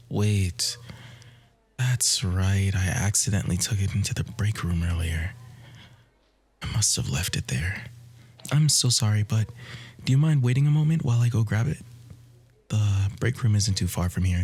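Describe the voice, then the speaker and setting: A man playing an outwardly kind, quietly manipulative doctor in an ASMR roleplay, in a breathy voice that often drops to a whisper. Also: sits low, exaggerated voice. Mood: flustered, apologetic. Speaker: audio roleplay voice actor. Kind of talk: ASMR roleplay, soft intimate acting.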